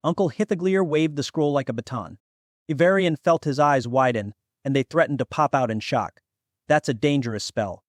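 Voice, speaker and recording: A man's voice: on the high side, normal speed, no echo, studio quality. A man reading scripted fantasy fiction as an audiobook narrator.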